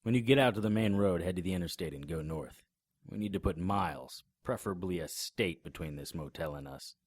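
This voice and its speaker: A man's voice: low voice, a little fast, no room sound. A man narrating and acting the lead in a noir audio drama, dry and wry in manner.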